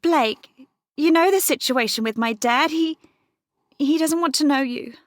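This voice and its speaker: A woman acting in an audio drama as a cunning, sharp-tongued wife who plays the wronged party. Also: sits high, measured pace, dry recording, studio quality. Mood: sweet, guarded.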